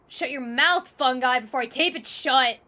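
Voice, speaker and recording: A woman voicing a short-tempered, gruff young man who swears and talks abrasively in an audio drama, over background noise. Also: high voice, normal speed, voice pushed up. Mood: shouting anger. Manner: swearing, brash, loud and abrasive. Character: foul-mouthed, short-tempered, gruff young male grump.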